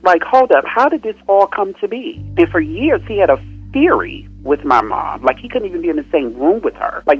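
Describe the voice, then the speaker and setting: A man's voice: fairly high pitch, slightly rough. Earnest young man on a muffled call. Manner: earnest, searching, often trails into quieter asides. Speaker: guest dancer. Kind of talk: reflective personal interview.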